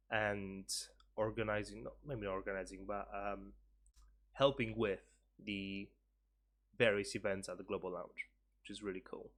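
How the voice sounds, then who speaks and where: A man's voice: fairly low pitch, a bit bright. A man who is a university student, talking casually and earnestly to camera about his studies, with frequent fillers and restarts. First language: Polish.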